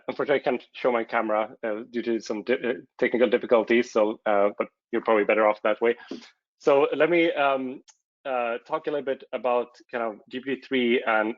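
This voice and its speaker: A man's voice: medium pitch, no echo, highs cut off. A man whose first language is Swedish, presenting a technical talk at a conference and hesitating often with fillers and restarts.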